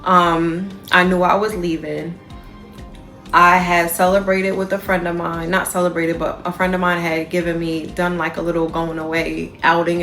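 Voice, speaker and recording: A woman's voice: fairly low pitch, fairly bright tone. A woman travel nurse vlogging from a hotel room, talking in a rambling, chatty way full of fillers. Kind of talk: casual vlog diary. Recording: music playing under the voice.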